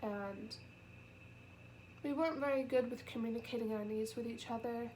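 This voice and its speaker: A young woman with a cold, sniffly and nasal, talking softly and haltingly in a personal vlog, close to tears. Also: fairly high pitch, slight background noise, rough recording. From United Kingdom. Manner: halting and soft-spoken, with many pauses and fillers. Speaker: vlogger. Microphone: camera.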